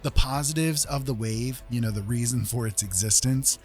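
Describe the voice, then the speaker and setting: A man's voice: mid-range voice, normal speed, darkish tone. A man chatting casually to viewers as a live streamer, rambling along in an easygoing, informal way. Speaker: former radio host. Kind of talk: casual livestream chat with viewers. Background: music playing.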